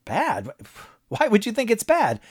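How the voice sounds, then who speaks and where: A man voicing an excitable, jovial businessman who gushes in a high voice. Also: unhurried, slightly rough, full character voice. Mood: alarmed. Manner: high, gushing and breathless.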